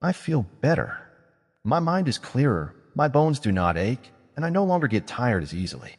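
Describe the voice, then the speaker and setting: Smug mocking witch lady, higher voice, lively, guy doing her, bit of hall echo. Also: moderate pace, husky. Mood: teasing. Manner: playful, mocking, self-satisfied. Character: vain, teasing witch woman, newly young again.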